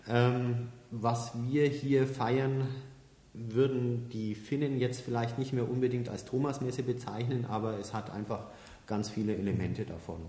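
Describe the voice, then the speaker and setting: A man from Middle Franconia, a pastor and podcast guest, explaining his work in a relaxed way with an occasional chuckle. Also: mid-range voice, measured pace. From Middle Franconia, Germany. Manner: relaxed, explains at length, chuckles now and then. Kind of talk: casual interview talk. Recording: echoey, reverberant room.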